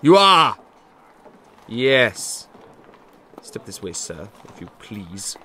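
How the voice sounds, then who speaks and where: An actor playing a giddy, jubilant old gentleman, a reformed miser, with an exclamatory, stammering manner in an audio drama. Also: fairly low pitch, very slow, slight background noise, exaggerated voice. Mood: mock stern. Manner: exclamatory, stammering with excitement, chuckling. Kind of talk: theatrical audio drama acting.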